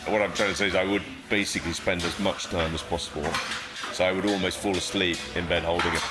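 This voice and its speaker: A middle-aged man from Sri Lanka, a guest speaking in a thoughtful, modest, low-key way during an interview. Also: steady tone, quick pace, heavy background noise. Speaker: guest, surgeon and painter. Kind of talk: reflective interview talk.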